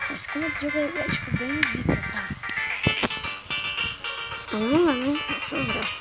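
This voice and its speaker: A young woman with a Brazilian accent, hoarse, rambling casually as she comments on her own gameplay. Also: high voice, unhurried, low-quality recording. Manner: rambling slangy talk, full of fillers. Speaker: gaming channel host. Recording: game music playing behind.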